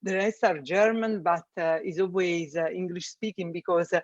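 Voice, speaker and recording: A man with an Italian accent and a notably high voice speaks as an interview guest. He pauses often and fills gaps with "uh" while phrasing things the way a non-native speaker does. The sound is dry and a little muffled. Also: steady tone, dry recording. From Italy. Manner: hesitant, many fillers, non-native phrasing. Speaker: guest, space systems engineer. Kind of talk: interview answers.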